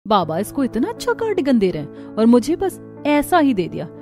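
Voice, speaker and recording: A woman's voice: a little high, wildly swooping pitch, moderate pace, a little mellow. A woman playing a greedy, scolding, spiteful step-relative who bullies in a cartoon story. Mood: scheming. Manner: scolding, threatening. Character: greedy, bullying stepmother and stepsister type, spiteful. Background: music.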